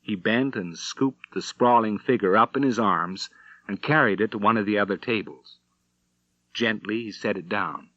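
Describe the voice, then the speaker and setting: A man reading a western novel as an audiobook narrator, in measured, dramatic storytelling. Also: lowish voice, bright, forceful tone, no echo, lo-fi sound. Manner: measured storytelling with acted dialogue.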